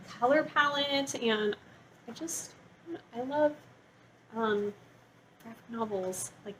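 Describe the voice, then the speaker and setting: A woman with a fairly high voice talks casually, as a book vlogger would to her viewers. Her delivery is informal and gushing, sprinkled with "like". Some faint noise sits behind her voice. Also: fairly bright tone, rough recording. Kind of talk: casual chatty book review to camera.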